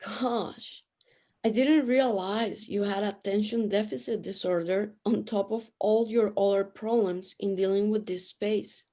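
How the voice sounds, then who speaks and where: A knowing woman from a spirit realm, voiced by an actress in a sci-fi radio play. She has a mellow, middle-pitched voice. She explains things plainly, with dry teasing. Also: steady tone, measured pace, lightly acted. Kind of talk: scripted sci-fi radio drama.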